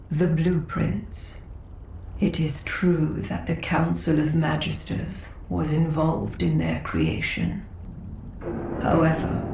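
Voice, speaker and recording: An actress voicing an ailing, dignified grand master of a sci-fi council in a video game, frail and breathy, with formal, halting speech. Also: female voice, deep voice, darkish tone, echoey room, slight background noise, heavily acted. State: unwell, coughing. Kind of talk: video game story dialogue.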